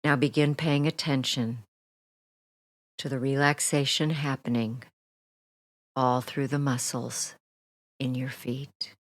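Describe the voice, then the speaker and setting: Female meditation guide, notably low register, unhurried and soothing, soft trailing phrase ends. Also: fairly even intonation, dry recording. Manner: soothing, gentle, deliberate with long pauses. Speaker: hypnotherapist guiding a meditation. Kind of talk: guided meditation, relaxation script.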